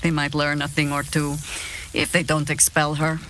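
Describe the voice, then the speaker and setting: An actress playing a middle-aged, seasoned adventurer in a video game, gruffly fond and dryly amused, with a deliberate theatrical delivery. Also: female voice, low-pitched, monotone, fairly bright tone. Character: seasoned wry woman adventurer with grown children, gruffly fond. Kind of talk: video game dialogue acting.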